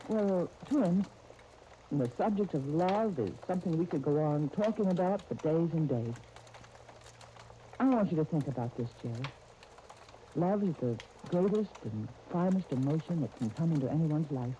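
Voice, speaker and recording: An actress playing a gentle, earnest guardian of young twins in a 1930s radio soap opera, speaking tenderly. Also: female voice, low voice, animated, moderate pace. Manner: tender, earnest, uses endearments. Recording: muffled, noisy 1939 radio disc recording.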